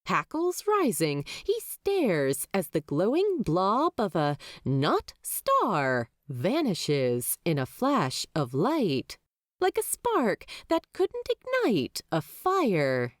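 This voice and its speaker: A woman's voice: takes it slowly, no room sound, studio quality. A woman reading fan fiction aloud as a podfic narrator, telling the story expressively. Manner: expressive storytelling with wide swings of pitch.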